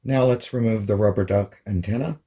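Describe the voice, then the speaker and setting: Low-pitched man giving a hands-on demo. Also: brisk, mellow, husky. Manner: plain, step-by-step explaining. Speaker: hobbyist presenter. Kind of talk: informal hands-on product demonstration.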